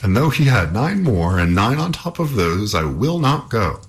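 A man's voice: lowish voice, sing-song, normal speed, husky, pitched above the performer's own voice. A man voicing a stubborn, fearless young hero, defiant and emphatic, in a storybook folk tale. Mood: bold defiance.

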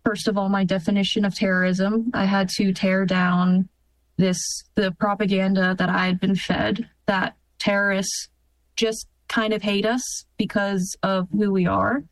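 Interview guest: American woman, twenties, earnest and reflective, halting delivery, dry recording. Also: mid-range voice, little pitch movement, no echo, poor audio. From United States. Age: 20s. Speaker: interview guest, military officer. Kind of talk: earnest personal testimony in an interview.

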